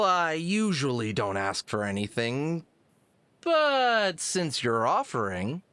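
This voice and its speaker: A man's voice: medium pitch, sharp tone, exaggerated voice. A man playing a sheepish, well-meaning fox adventurer in an audio drama, talking in a casual, wry, hesitant way.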